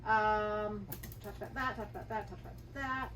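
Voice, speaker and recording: Over clearly audible noise, a woman chats in a relaxed, unscripted way as a travel vlog co-host. Her mid-pitched voice is picked up from a little distance. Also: moderate pace. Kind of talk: casual unscripted chat with partner. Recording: distant mic, clearly noisy background.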